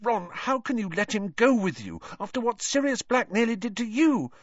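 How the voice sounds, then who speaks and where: Storybook schoolgirl performed by a man: bossy, anxious, insistent, voice lifted high and swooping. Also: very animated, normal speed, no room sound, lo-fi sound. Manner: insistent, scolding.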